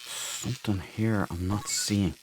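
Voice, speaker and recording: A man's voice: lowish voice, fairly even intonation, a little slow, background noise. A man giving casual playthrough commentary on a game, muttering to himself and mildly swearing as he goes. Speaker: let's player.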